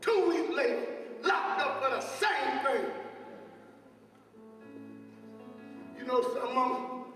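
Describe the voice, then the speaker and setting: A man's voice: very high voice, lively intonation, normal speed, fairly bright tone, faint music behind. A middle-aged man playing a weary, exasperated bishop in a stage play, emphatic and repeating his phrases like a preacher. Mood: frustrated. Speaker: stage actor. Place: indoor stage set in a theater. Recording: echoey theater hall, distant microphone.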